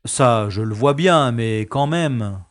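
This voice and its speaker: A man's voice: medium pitch, lively intonation, takes it slowly. A man playing a suave, self-important special agent, wry and drily polite, in a science-fiction audio drama. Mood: flustered, wry.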